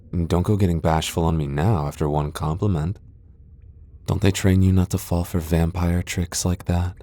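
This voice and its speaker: A proud vampire lord acted out by a man speaks in a deep, dark-toned voice. He is sardonic and defiant, with a dry, sighing delivery suited to a fantasy ASMR roleplay. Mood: flustered, teasing. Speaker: voice actor in a roleplay audio.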